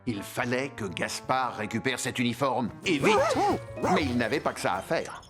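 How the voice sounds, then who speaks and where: A man narrating a children's cartoon in a playful, expressive storytelling manner. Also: mid-range voice, moderate pace, over music, lightly acted. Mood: playful, curious.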